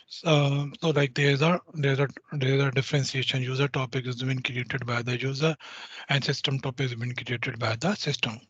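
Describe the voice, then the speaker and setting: Halting man with a husky voice. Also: mid-range voice, dry recording, highs cut off. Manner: halting, full of restarts and "uh" fillers. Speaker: user group presenter. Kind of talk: technical software walkthrough.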